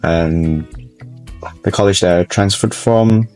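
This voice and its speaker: A man's voice: low-pitched, slow, darkish tone, soft background music. A Malaysian-accented man in his twenties, a college student reflecting earnestly in a documentary interview. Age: 20s. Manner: earnest, reflective, with frequent restarts.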